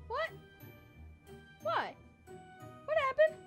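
A woman as a high-voiced, playfully evil young woman. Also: big pitch swings, drawn-out words, a bit bright, faint music behind, exaggerated voice. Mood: confused. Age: 20s. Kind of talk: improvised game roleplay.

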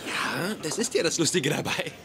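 Ein Schauspieler spielt einen ängstlichen, zögerlichen jungen Freund unter dem Pantoffel in einer synchronisierten Sitcom. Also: male voice, fairly high pitch, expressive, moderate pace, close to an everyday voice. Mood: exasperated. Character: anxious, hesitant, henpecked young boyfriend. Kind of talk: dubbed sitcom acting. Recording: noisy TV soundtrack.

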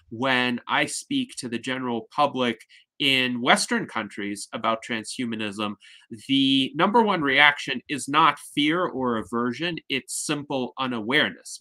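A man in his thirties moderating an online panel discussion in a measured, articulate, formal way, speaking on a video call from his home study. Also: mid-range voice, sharp tone, rough recording. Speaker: host, moderator. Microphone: video call, headset.

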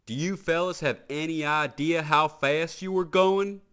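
Man voicing a raised-pitch cartoon tow truck, folksy, friendly, eager, emphatic, storybook deputy. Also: a little slow, a bit bright, clean tone. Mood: earnest, instructive.

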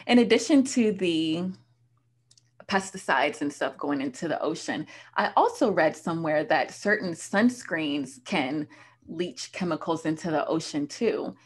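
A young woman with a lowish voice hosts a podcast conversation. She asks curious questions and encourages her guest along. She speaks into a desk microphone in a room at home. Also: breathy edge. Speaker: podcast host. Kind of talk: casual interview. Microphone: desk podcast mic.

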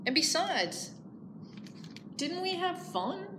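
A needy, hungry storybook bear, played by a woman reading aloud, pleading and hesitant. The delivery is slow, in a roomy, echoing space with clear background noise. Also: very high voice, big pitch swings, voice pushed up, heavily acted. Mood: sheepish, earnest. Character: needy, hungry bear who wants a friend.

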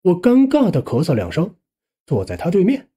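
A man's voice: sits high, huge pitch swings, normal speed, dark tone, slightly rough. A man narrating a first-person suspense audiobook in a colloquial storytelling manner.

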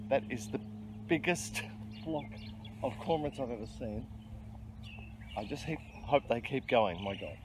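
A man's voice: medium pitch, lively intonation, a little slow, pauses often. A middle-aged man, chatting casually and explaining his fishing as he goes, with frequent ums and restarts, filmed on a riverbank by a bridge. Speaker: fishing vlogger. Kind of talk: casual outdoor fishing commentary. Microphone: handheld camera close-up. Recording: noisy outdoor riverside recording.

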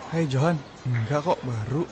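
A man's voice: medium pitch, moderate pace, crowd noise, natural voice. An actor playing a reflective young man talking casually with his friend about his younger brother in a radio drama. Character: thoughtful young man Haji, Damar's older brother.

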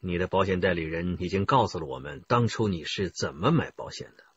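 A man's voice: sits low, animated, brisk, slightly rough, highs cut off, full character voice. A man playing a firm, authoritative police interrogator questioning in a radio crime drama. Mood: stern. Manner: authoritative, probing.

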